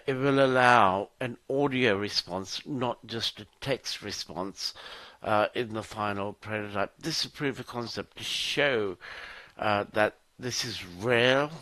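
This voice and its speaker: Male presenter for a product demo: unhurried, halting explanatory delivery, dry close sound. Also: medium pitch, bright, forceful tone, dry recording, poor audio. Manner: halting, explanatory, in short phrases. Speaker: solution architect presenter. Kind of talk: software demo walkthrough.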